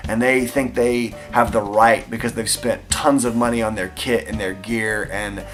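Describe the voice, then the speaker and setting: A man's voice: on the low side, steady tone, normal speed, fairly bright tone, soft background music. A young man with a Texan accent hosting a casual vlog, answering viewer questions in an enthusiastic, chatty way with frequent fillers. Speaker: airsoft channel host answering viewer questions.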